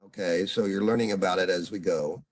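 A man's voice: deep voice, steady tone. Older male professor lecturing on a video call. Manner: halting, full of uhs, asks students questions. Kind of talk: online seminar lecture. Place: home room on a video call. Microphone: video call computer mic.

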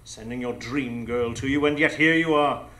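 An actor playing a grandiose, mocking pirate captain and criminal mastermind in a live radio drama, with theatrical, pompous delivery. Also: male voice, mid-range voice, moderate pace, bright, forceful tone, lightly acted. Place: improv theatre stage before a live audience.

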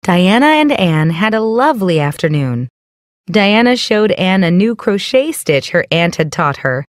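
A woman giving scripted narration for a children's story in a clear storytelling delivery. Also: on the low side, big pitch swings, unhurried, no room sound, clean studio sound.

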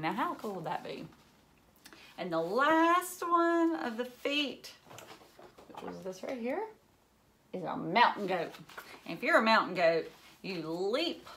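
A woman in her thirties reading a children's book aloud like a teacher, with expressive asides and questions to young listeners. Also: fairly low pitch, slight background noise. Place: indoors, living room at home.

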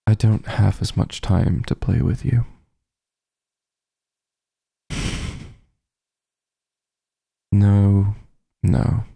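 A man voicing a possessive, teasing vampire mafia heir in an intimate ASMR roleplay, husky and gravelly, with a condescending drawl. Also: fairly low pitch, steady tone, slow pace, hardly any pauses, dark tone, no echo, studio quality, full character voice. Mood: indulgent teasing. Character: possessive, teasing male vampire mafia heir, coolly menacing yet doting.

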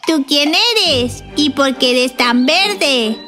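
A sweet, naive little cartoon girl. Her high voice drawls slowly and innocently, with loud children's music playing underneath. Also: lively intonation, exaggerated voice. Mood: carefree, curious. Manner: innocent, questioning, drawn-out delivery.

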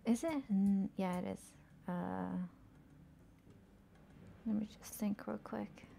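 A young woman streaming a game, murmuring casual commentary to herself and often slipping into a whisper, with a soft, soothing voice. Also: medium pitch, fairly even intonation, slow, gravelly, slight background noise.

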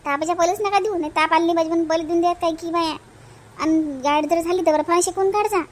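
A woman voicing a brisk village nurse in a cartoon, instructing and mildly scolding, with a Vidarbha Marathi accent. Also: extremely high pitch, fairly even intonation.

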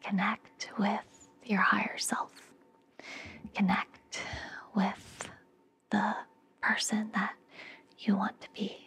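Breathy whispering young woman, ASMR. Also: medium pitch, little pitch movement. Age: young adult. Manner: slow, gentle, reassuring. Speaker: ASMR podcast host. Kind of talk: ASMR, soothing guided relaxation and affirmations. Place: indoor room, close up. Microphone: fluffy-covered microphone very close to the mouth.

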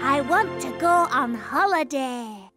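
A woman voicing an earnest, demanding little princess in a childlike, halting preschool cartoon voice. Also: high-pitched, expressive, music in the background, heavily acted. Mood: eager. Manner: slow, simple, halting child speech.

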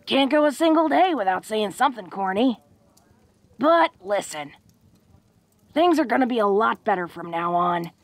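A woman playing a tough but awkward, affectionate young orc woman in an intimate roleplay audio drama, talking in a folksy, halting way. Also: fairly high pitch, expressive, dry recording. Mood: tender, caring. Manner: folksy, halting tough-girl talk with small chuckles.

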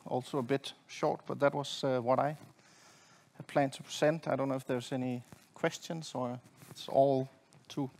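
A middle-aged man giving a technical presentation from a conference stage in a halting, hesitant way. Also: mid-range voice, fairly even intonation, vocal fry, darkish tone. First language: not Swedish. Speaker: conference presenter, network engineer. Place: conference stage at a lectern, indoors.

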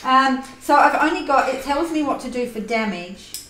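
A woman playing a tabletop game, loud and joking as she reads out her character's abilities. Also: high voice, lively intonation, normal speed. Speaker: player. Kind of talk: casual game chat. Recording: echoey room, faint noise.

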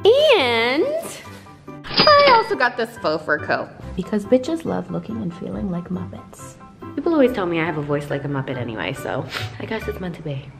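Animated young woman vlogger. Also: lowish voice, moderate pace, gravelly, over music. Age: young adult. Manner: playful, exclamatory, theatrical asides. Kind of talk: casual shopping vlog. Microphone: handheld camera at arm's length.

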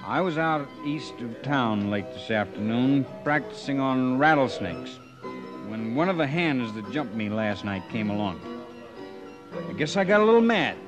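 Man, acting as proud stubborn cowboy, unhurried speech, folksy, music behind, vintage radio western. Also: medium pitch, lively intonation, lightly acted. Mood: easy, candid. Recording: vintage radio drama recording.

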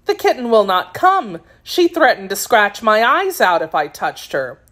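Lady doing a young servant girl from a storybook, respectful, earnest, voice lifted a bit and lively. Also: medium pitch, measured pace, pitched above the performer's own voice. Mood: reporting. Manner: deferential, earnest. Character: dutiful young maid reporting to her princess. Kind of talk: children's storybook reading.

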